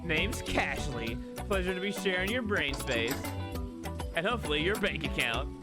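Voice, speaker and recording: A man's voice: on the high side, sing-song, moderate pace. A man voicing a brash, greedy female hustler, a young woman's alter ego, with glib, slangy sales patter for a visual novel. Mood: cocky. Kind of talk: visual novel character read-aloud. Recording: loud music behind. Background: loud music.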